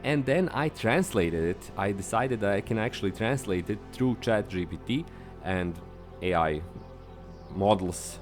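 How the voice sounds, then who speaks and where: A lower-voiced man with a Serbian accent talks alone into the mic as a podcast host. He is upbeat and earnest, and he throws sudden high lifts onto the words he stresses. Soft music sits faintly under his voice. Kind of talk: solo podcast monologue.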